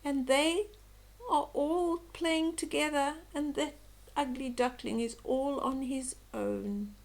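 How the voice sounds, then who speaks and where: Slow-paced older woman reading a bedtime story. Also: sits high, creaky. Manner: gentle, slow, expressive, cooing asides. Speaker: storyteller reading a children's book aloud. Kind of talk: bedtime story read-aloud with chatty asides to young listeners.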